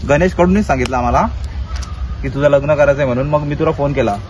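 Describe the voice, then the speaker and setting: A man's voice: on the high side, fast, a little mellow, slight background noise. A young man with a Maharashtrian accent talking casually as he hosts a prank-call vlog. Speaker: vlogger making prank calls.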